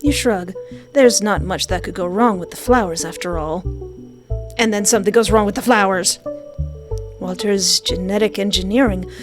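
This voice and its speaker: Female gamer, medium pitch, reads story text aloud and tosses in jokey asides, music clearly behind. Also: moderate pace. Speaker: let's player. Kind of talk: reading game narration aloud with casual commentary. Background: game music.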